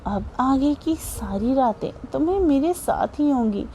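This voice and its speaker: A woman voicing an obsessive, possessive young man who speaks in a low, slow, menacing murmur in a storybook romance. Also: lively intonation, warm tone, a little hiss or hum. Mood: taunting.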